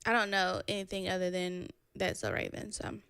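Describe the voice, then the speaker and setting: A woman's voice: medium pitch, flat delivery, a little fast, gravelly, a bit bright, clear, resonant tone, no echo, clean studio sound. A young woman guest on a casual podcast, blunt and sassy, swearing freely as she chats. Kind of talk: casual chat.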